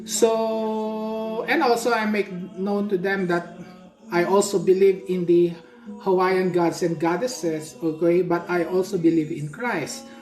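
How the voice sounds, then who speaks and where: A woman with a Filipino accent, whose first language is Tagalog, teaching hula in a livestream workshop, explaining slowly and often checking in with "okay?". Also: on the low side, steady tone, a little mellow. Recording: echoey room with music behind.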